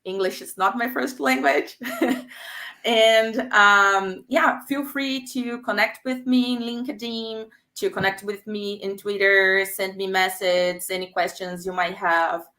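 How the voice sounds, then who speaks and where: A woman's voice: mid-range voice, steady tone, takes it slowly, sharp tone. A woman from Brazil, a native Portuguese speaker, talking as a product manager guest on a video call. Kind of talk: casual webinar chat.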